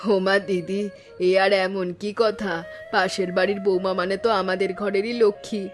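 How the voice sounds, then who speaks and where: Woman as middle-aged village mother, kindly, even melody, cartoon drama, soft music bed. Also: mid-range voice, moderate pace, natural voice. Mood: affectionate. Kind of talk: animated moral story voice acting. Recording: faint music behind the voice.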